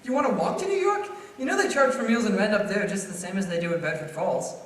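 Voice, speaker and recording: In a very reverberant room, far from the mic, a performer acts an earnest small-town man in a radio play. The delivery is folksy, with widely swinging pitch. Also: fast talker, natural voice. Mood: kindly, concerned. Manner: folksy, animated. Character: earnest, good-hearted small-town man, excitable when troubled. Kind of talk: stage radio play acting. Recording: echoey hall, distant mic, faint noise.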